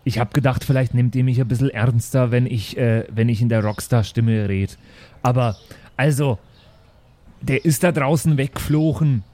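A man acts an earnest rockstar schoolteacher in an improvised audio play. He frets and pleads in a mid-pitched voice, halting yet insistent. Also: dark tone, natural voice. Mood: pleading. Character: fretful, earnest UFO-believing rockstar schoolteacher.

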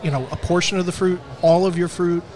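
A man's voice: sits high, steady tone, fast, a little mellow. A male host asks casual, joking questions in an interview. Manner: jokey, conversational questions. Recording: outdoor crowd noise.

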